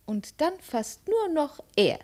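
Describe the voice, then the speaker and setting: An actress playing a cold, shrewd young princess with a commanding, ironic and probing manner, in 1950s radio drama style. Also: female voice, sits high, sing-song, takes it slowly, dark tone, heavily acted. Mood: suspicious, probing. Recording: 1950s radio studio recording.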